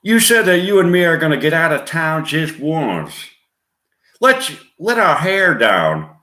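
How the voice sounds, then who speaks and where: A man's voice: a little high, sing-song, a little slow, bright, forceful tone, pitched above the performer's own voice, exaggerated voice. A man voicing a dramatic, sassy Southern woman with a Southern US accent, in a roomy recording.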